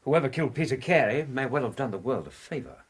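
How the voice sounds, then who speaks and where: An actor playing a gruff, plain-spoken man, a detective's companion in a radio drama, with a husky voice and dry, blunt manner. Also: mid-range voice, lively intonation, fast talker.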